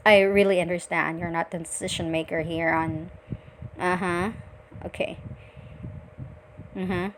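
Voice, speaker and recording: A woman from the Philippines with a Filipino accent, speaking politely and deliberately as a virtual assistant on a scripted sales call. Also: fairly low pitch, level tone, noisy background. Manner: polite, deliberate, frequent acknowledging murmurs.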